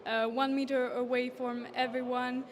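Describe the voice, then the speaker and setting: Student interviewee: female, twenties, French accent, high-pitched voice, informal delivery. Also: little pitch movement, normal speed. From France. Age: 20s.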